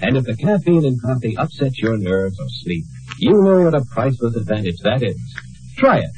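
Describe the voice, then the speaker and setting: A man's voice: medium pitch, very animated, brisk, a little mellow, low-quality recording. A man delivering a persuasive 1950s radio advertisement. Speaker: commercial announcer. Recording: old-time radio broadcast recording.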